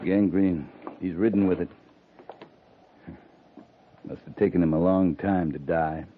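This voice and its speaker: Stern decent army captain out west, lowish voice, old crackly thin radio show. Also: male voice, fairly even intonation, a little slow. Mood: grim. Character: gruff, plain-spoken US Army cavalry captain, stern but decent. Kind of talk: 1950s radio drama acting. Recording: tinny, faintly noisy 1950s radio recording.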